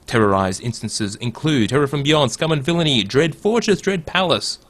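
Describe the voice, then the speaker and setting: A man's voice: mid-range voice, animated, moderate pace, a bit bright. A man hosting a casual gaming podcast who runs through news and reads a write-up aloud. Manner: reads a write-up aloud in a mock movie-trailer voice. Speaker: podcast host.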